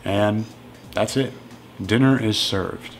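A man in his thirties casually walking through home meals in his kitchen, relaxed and matter-of-fact. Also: on the low side, slow pace, gravelly, faint music behind. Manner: relaxed and matter-of-fact, plain step-by-step talk. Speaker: strength coach cooking at home. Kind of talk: casual cooking walkthrough.

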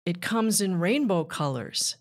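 Slow-paced woman reading aloud to children. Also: lowish voice, lively intonation. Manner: measured, deliberate phrasing addressed to children. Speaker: children's author and narrator. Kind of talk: read-aloud of a rhyming children's nature book.

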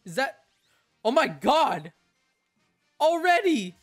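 A young man narrating his video game hunts from a home gaming room, breaking into excited shouts and laughter at lucky finds. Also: very high-pitched, takes it slowly, soft background music. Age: young adult. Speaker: gaming YouTuber. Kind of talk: game commentary and recap narration.

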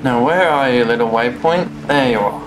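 A young man with a medium-pitched voice streams a video game, chatting casually to himself. He is playful and mock-polite, with clear game music behind him. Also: normal speed, poor audio. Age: young adult. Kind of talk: casual gameplay commentary, talking to himself. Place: small room indoors at home.

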